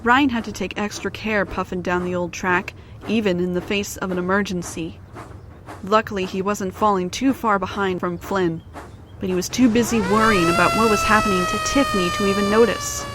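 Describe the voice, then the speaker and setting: A woman's voice: medium pitch, fairly even intonation, normal speed, very noisy. A woman reading scripted storybook narration for an audio drama.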